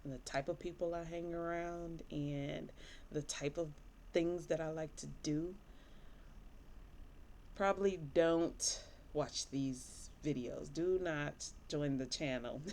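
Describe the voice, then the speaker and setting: A woman's voice: on the low side, slow pace. A woman in her thirties, a therapist from Michigan, talking candidly and casually to camera with plenty of fillers while sniffly from allergies. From Michigan, USA. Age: 30s. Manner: chatty, candid, rambling with fillers. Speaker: licensed therapist.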